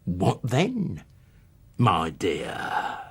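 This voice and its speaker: A man's voice: low-pitched, wildly swooping pitch, extremely slow, fairly bright tone, slightly rough, voice pushed down, full character voice. An actor playing a wheedling old male criminal with a Cockney accent and a lisp, drawing out his words. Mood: sly, wheedling. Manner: wheedling, drawn out.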